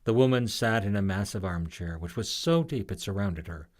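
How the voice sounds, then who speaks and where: Storybook narrator: male, lower-pitched, lively and varied delivery. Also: moderate pace. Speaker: author reading own story.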